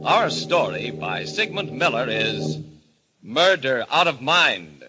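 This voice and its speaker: A man narrating and announcing a 1940s radio mystery show in a theatrical, ominous, deliberate manner. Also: medium pitch, sing-song, rough recording. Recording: vintage radio with music behind.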